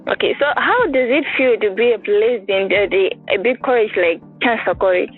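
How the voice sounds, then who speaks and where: A woman's voice: medium pitch, a little fast, husky. A woman interviewing a guest, asking loosely worded questions that she sometimes restarts. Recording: tinny phone-like line, faint music.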